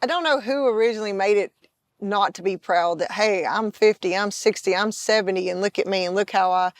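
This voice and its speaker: A woman's voice: mid-range voice, fairly quick, no room sound, clean studio sound. A woman hosting a casual health talk show, chatty, with frequent laughter and restarts. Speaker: podcast host. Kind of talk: casual interview chat.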